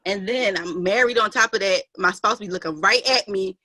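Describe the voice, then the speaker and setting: A woman telling a casual anecdote on a video call, animated and quick to laugh and quote herself. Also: medium pitch, no echo. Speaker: actor in an online play reading.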